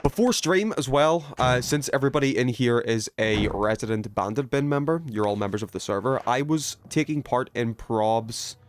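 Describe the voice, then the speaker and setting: A man's voice: medium pitch, normal speed, few pauses. A man hosting a video game livestream, rambling and thinking aloud as he chats casually with viewers. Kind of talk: casual gaming stream chat, reading viewer messages.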